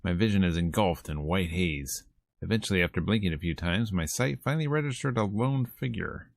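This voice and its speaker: A man's voice: low-pitched, lively intonation, fairly quick, vocal fry, no room sound. A man hosting a let's play, reading visual novel text aloud with playful, casual asides. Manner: playful, casual asides between read lines. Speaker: host of a gameplay video series.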